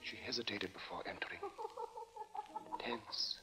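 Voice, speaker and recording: A man's voice: fairly low pitch, fairly even intonation, a little slow, close to an everyday voice. A man narrating a suspense story in dramatic, scripted 1940s radio style. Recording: dull old radio recording with music.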